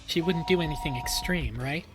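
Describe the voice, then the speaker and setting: Man, slightly high voice, story streamer, dramatic first-person narration, halting suspenseful delivery, clear background music. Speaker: streamer reading a visual novel aloud.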